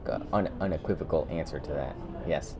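A man co-hosting a podcast in casual chat, speaking emphatically and haltingly with repeated words as he reviews. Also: a bass voice. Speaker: podcast co-host.

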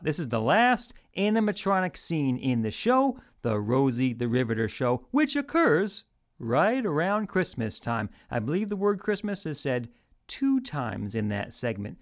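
A man's voice: mid-range voice, very animated, measured pace. A man hosting an old-time radio game show, announcing like a showman and addressing listeners directly.

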